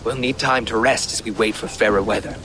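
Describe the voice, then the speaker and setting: A man's voice: lowish voice, steady tone, brisk, noisy background, close to an everyday voice. A man playing a hearty, easygoing seafaring Viking companion in a video game cutscene.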